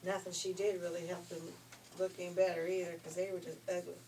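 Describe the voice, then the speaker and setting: An older woman telling a fairy tale informally, in a folksy, expressive, chatty way. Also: lowish voice, fast talker. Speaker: storyteller. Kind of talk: informal read-aloud fairy tale storytelling with asides. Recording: distant mic with faint background noise.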